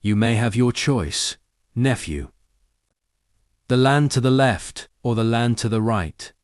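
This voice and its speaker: A man's voice: mid-range voice, animated, slow, no room sound, clean studio sound. Man as a solemn, patient biblical patriarch. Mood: calm, decisive. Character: patient, devout patriarch, earnest and authoritative man. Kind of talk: dramatised audio Bible story.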